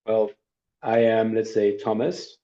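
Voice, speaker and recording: A middle-aged man teaching a workshop over a video call, demonstrating a service in a relaxed, conversational way with hesitations and asides. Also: on the low side, slow pace. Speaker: workshop instructor. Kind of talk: live online training demo. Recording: dull lo-fi video call audio.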